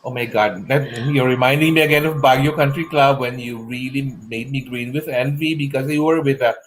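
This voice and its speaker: A man's voice: mid-range voice, fairly even intonation, a little fast, a little hiss or hum, rough recording. A middle-aged man hosting an online interview show over a video call, effusive and full of exclamations. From Philippines. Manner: gushing, effusive, exclaims a lot. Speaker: talk show host. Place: home room with shelves. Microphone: earphone headset mic on video call.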